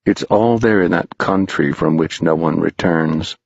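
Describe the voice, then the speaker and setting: A man voicing an eerie hooded spectral figure who speaks slowly and solemnly. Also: low voice, vocal fry, husky, no echo, muffled recording, exaggerated voice. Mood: ominous. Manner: slow, hushed, solemn.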